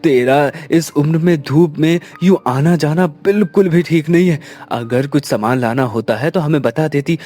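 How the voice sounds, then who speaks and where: A man's voice: mid-range voice, a little mellow, lightly acted. Man acting an earnest, dramatic village son. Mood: worried, tender. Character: dutiful, caring adult son in an Indian village. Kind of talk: Hindi cartoon story voice acting.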